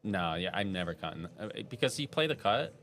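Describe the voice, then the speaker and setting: A man from upstate New York calling a live pool match, talking through shots in a calm, analytical way. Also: deep voice, a little fast, slight background noise. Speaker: pool commentator.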